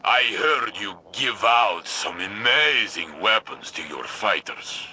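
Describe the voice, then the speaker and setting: A man playing a proud, hearty armored warrior in a video game, with a blunt, solemn, deliberate delivery. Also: fairly low pitch, animated, bright, forceful tone, a little hiss or hum, exaggerated voice, rough recording. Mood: hearty needling.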